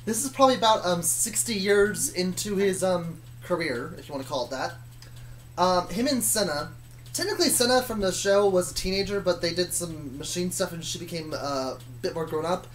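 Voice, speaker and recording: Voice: medium pitch, animated, fairly quick, slight background noise. A person telling stories about their own fictional universe in a casual, rambling, hesitant way, often correcting themselves. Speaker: storyteller.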